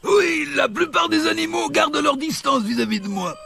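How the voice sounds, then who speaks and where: Big gentle warthog, high and gravelly. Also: male voice, normal speed, exaggerated voice. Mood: earnest, uneasy. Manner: earnest, hesitant, friendly. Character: big, naive, gentle, good-hearted male warthog. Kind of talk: animated film dialogue. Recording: music behind the voice.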